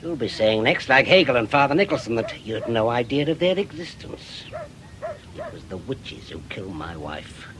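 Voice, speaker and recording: Man acting an older, animated, self-important ex-policeman. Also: medium pitch, normal speed, crowd noise, natural voice. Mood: bitter, determined. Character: vengeful retired policeman, self-important and bereaved. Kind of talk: radio drama acting.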